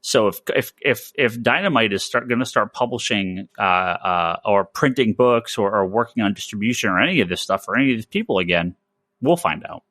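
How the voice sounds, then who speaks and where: Male podcast host, mid-pitched and very animated, emphatic and opinionated in casual chat, dry close-mic sound. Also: fairly quick, no room sound. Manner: emphatic, opinionated, repeats and restarts phrases. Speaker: podcast host, comic store owner.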